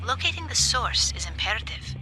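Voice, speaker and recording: A woman voicing a polite android analyst in a video game, speaking in a formal, measured and precise way. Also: fairly high pitch, very animated, over music, poor audio. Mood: precise, calm. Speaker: team's technical analyst and translator.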